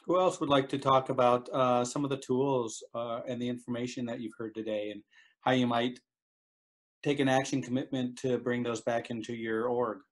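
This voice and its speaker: A man's voice: mid-range voice, fairly even intonation, normal speed. A man facilitating a conference call and prompting participants to speak. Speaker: meeting facilitator. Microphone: remote conference call.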